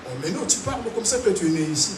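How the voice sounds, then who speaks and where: A man from Africa preaching a sermon to a congregation, animated and calling out to them. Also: sits high, very fast. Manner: animated preaching with call-and-response. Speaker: pastor. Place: large church hall. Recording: echoey hall, distant mic, noisy.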